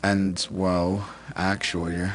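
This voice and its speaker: A man playing a guilt-ridden, middle-aged rich businessman in a dramatic roleplay, speaking haltingly and repeating his words. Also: sits low, flat delivery. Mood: regretful, shaken.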